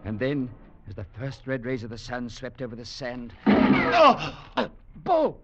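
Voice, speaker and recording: An actor playing an earnest young legionnaire in an old-time radio drama, speaking gravely, dramatically and articulately. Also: male voice, medium pitch, huge pitch swings, a little slow, a little hiss or hum. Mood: urgent, distraught. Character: earnest young male legionnaire recounting his adventure. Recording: muffled vintage radio with music.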